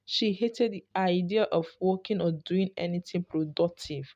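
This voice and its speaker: A woman's voice: fairly low pitch, normal speed, a little mellow, muffled recording. A woman telling a folktale as scripted narration, in the role of storyteller.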